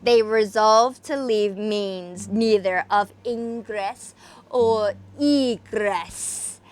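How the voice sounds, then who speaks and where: A woman's voice: mid-range voice, drawn-out words, clean tone. A woman at home who chats casually and gives theatrical, dramatic readings of spooky stories as an amateur host. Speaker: host and amateur story reader. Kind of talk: casual chat, then dramatic reading of a gothic horror story. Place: home workspace.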